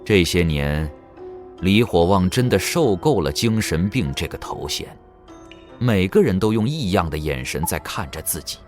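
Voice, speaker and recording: A man's voice: low-pitched, lively intonation, normal speed, darkish tone. A man narrating an audio drama in a dramatic, scripted storytelling style. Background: background music.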